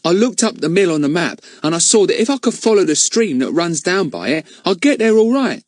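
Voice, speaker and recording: A man's voice: sits high, animated, brisk, no echo, studio quality, voice pushed up. A man reading aloud and voicing a sulky, stubborn boy who protests indignantly. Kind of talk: audiobook character voice.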